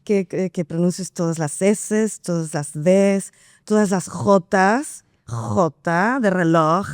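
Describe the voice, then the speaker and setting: Lively woman singer, smooth and dry. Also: lowish voice, takes it slowly, no room sound. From Venezuela. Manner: lively, laughs often, playful. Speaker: guest singer and actress. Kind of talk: casual interview chat.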